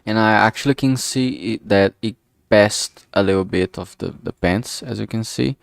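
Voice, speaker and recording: A man's voice: fairly low pitch, moderate pace, gravelly, no echo. A man casually talking through his digital art work on a livestream, murmuring each step as he goes. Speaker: streamer making a visual novel. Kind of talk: casual livestream commentary while drawing.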